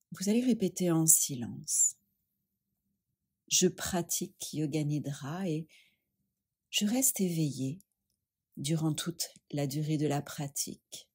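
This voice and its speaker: A woman leading a guided yoga nidra meditation, speaking gently and soothingly with long pauses. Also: sits low, husky.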